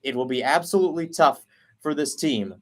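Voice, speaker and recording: A man giving a live play-by-play call of a soccer match, rattling off player names and lifting his voice when the play heats up. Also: on the high side. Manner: rapid running call, rising on chances. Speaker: play-by-play commentator.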